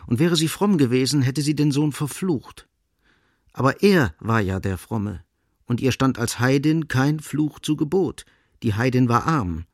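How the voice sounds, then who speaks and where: A man playing the sombre narrator of a literary radio drama, reading with grave, measured and deliberate phrasing. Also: mid-range voice, lively intonation, no room sound, clean studio sound. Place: studio.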